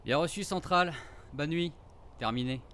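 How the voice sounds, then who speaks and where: An actor playing a teasing, affectionate forest ranger in a naturalistic audio drama, with casual, slangy delivery. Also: male voice, fairly high pitch, normal speed, background noise, lightly acted. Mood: professional.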